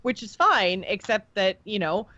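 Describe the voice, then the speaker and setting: A woman's voice: medium pitch, very animated, a little slow, pushed and bright, dry recording, dull audio. A woman co-hosting a casual gaming podcast, talking in a chatty way and explaining her points at length. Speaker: podcast co-host.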